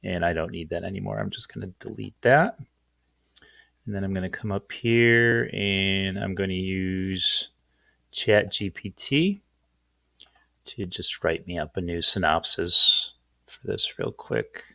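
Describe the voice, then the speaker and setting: Low, creaky-voiced man giving a casual tutorial. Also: a little slow, bright, forceful tone, dry recording. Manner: informal, self-deprecating, rambling. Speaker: tutorial host. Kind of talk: casual off-the-cuff screen-share tutorial.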